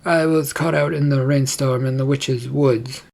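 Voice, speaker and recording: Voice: medium pitch, fairly even intonation, unhurried, gravelly, darkish tone. A narrator voicing a distrustful young man in a storybook, muttering, hesitant and sarcastic. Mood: nervous, evasive. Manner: muttering, stammering, grudging.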